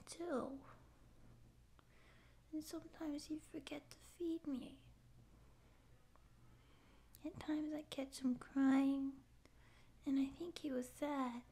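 A young woman acting a naive, pouty stray catgirl in a soft, halting ASMR roleplay voice. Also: sits high, vocal fry, full character voice. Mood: sad, tearful. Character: naive, tearful stray catgirl would-be space pirate. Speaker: ASMR voice actor. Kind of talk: ASMR roleplay audio drama.

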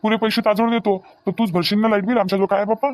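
An easygoing cartoon father-in-law played by a man talks fast in a slightly high voice with a Varhadi Marathi accent. He pleads for peace, repeating himself, in a dry recording. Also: steady tone, no room sound, lightly acted. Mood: pleading, mild protest. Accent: Varhadi Marathi (Vidarbha). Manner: repetitive, pleading let-it-go phrasing. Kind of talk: comedic cartoon dialogue.

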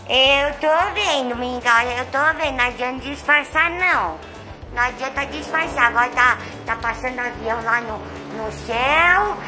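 A woman with a Brazilian accent voicing a chatty, nervous, affectionate young male house cat in a pet vlog. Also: high-pitched. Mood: hesitant. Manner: sweet, coy, chatty to viewers.

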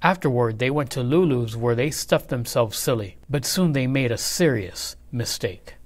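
A man reading a children's picture book aloud in an expressive, measured, dramatic way. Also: mid-range voice, very noisy. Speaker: read-aloud storyteller.

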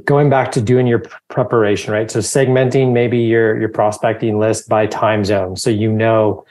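Man in his 30s, lowish voice, easygoing explainer on a webcam call. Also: flat delivery, moderate pace, darkish tone. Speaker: company founder and guest speaker. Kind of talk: webinar advice. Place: home office with bookshelves.

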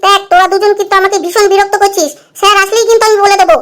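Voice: very high-pitched, fairly even intonation, rapid-fire, fairly bright tone. A performer voicing a bossy, sweet-talking schoolgirl in a comedy cartoon. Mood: annoyed.

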